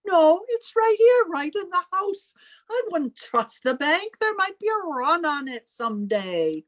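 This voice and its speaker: A prim, protective spinster played by a woman with a very high voice and big swoops of pitch. She speaks slowly and formally, with the melodrama of old-time radio. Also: big pitch swings, heavily acted. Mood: confiding.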